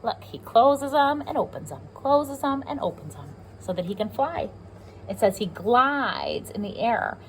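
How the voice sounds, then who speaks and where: A woman's voice: on the high side, wildly swooping pitch, measured pace, a little hiss or hum, poor audio. A woman with an American accent reading a picture book aloud to young children, explaining simply and asking them questions as a pre-K teacher.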